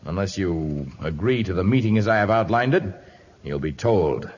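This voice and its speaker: A man's voice: deep voice, animated, moderate pace, darkish tone, husky. An actor playing a commanding, fatherly jungle hero with formal, declamatory phrasing, in a 1950s radio drama on an old muffled recording. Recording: dull vintage radio recording.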